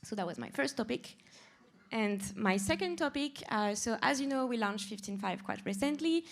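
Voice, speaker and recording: A woman presenting objectives to colleagues at a staff meeting, in a lively, urging way. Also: on the high side, moderate pace.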